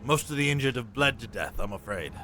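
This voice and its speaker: A seasoned, stoic sergeant of a fantasy army, acted by a man. His mid-range voice has a bright, forceful edge. He speaks in clipped, respectful military phrases. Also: mid-range voice, lively intonation, a little fast, soft background music. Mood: dutiful, concerned. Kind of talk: fantasy audio drama acting.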